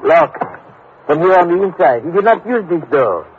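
An anxious, courteous French father in a vintage radio drama. His fairly high voice moves expressively with a French accent. The recording is thin and phone-like. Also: big pitch swings, moderate pace, slight background noise. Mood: alarmed. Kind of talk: 1950s radio drama acting.

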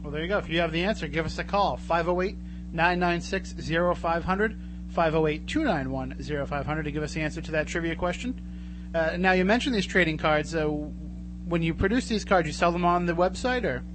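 A man's voice: on the high side, brisk. A man hosting a radio show in a casual conversational style. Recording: clearly audible hum and noise.

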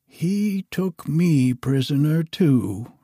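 Storybook old woman, frail, cautious and kindly, higher and breathy, as a man plays her; slow. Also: lively intonation, dark tone, dry recording, clean studio sound, full character voice. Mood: gentle. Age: older.

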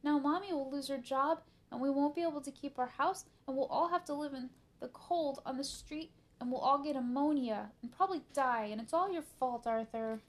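A woman's voice: fairly high pitch, animated, unhurried, hardly any pauses, pitched above the performer's own voice. A woman voicing a bossy, sly little girl in a children's storybook, teasing as she talks. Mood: dramatic, accusing.

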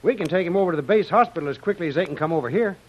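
An actor playing a tough, dutiful army sergeant with clipped delivery in an old radio serial. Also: male voice, on the high side, lively intonation, very fast. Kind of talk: 1930s radio serial acting. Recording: dull old radio recording with hiss.

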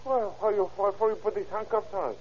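A man playing a frightened young Mexican murder suspect in an old radio crime drama, speaking halting English with a Mexican Spanish accent. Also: sits high, fairly even intonation, slight background noise, natural voice. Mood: alarmed, pleading. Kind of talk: 1930s radio acting. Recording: muffled old radio recording.

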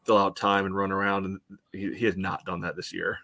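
A man with a somewhat low voice co-hosts a soccer podcast. He gives casual, conversational analysis, hedging as he goes and leaning on "you know". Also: little pitch movement, brisk, pushed and bright.

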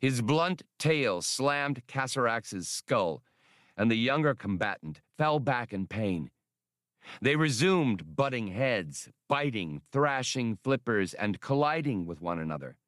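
A man narrating an adventure audiobook in a dramatic, deliberate and expressive scripted style. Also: medium pitch, no echo, studio quality.

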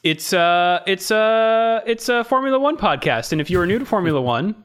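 Friendly male podcast host, slightly high voice. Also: normal speed, clean tone. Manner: friendly, relaxed, with frequent um and uh. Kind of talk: casual chat with scripted show intro.

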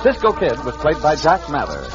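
A male announcer with a formal delivery, his fairly high voice lively in pitch. Organ music plays clearly behind him on a dull old radio recording. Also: fairly quick.

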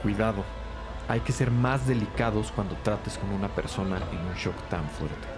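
A man playing an easygoing, gentle young investigator in a scripted audio drama. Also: lowish voice, moderate pace, close to an everyday voice. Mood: calm, serious. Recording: music bed under voice. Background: music.

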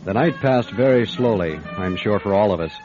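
Casting: man to play confident radio detective, deep-toned, measured, knowing, on muffled old radio sound. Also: expressive, close to an everyday voice. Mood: composed. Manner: measured, wry, probing. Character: calm, probing male private detective, confident and unhurried. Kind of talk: radio drama acting with first-person narration. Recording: dull band-limited radio drama with music.

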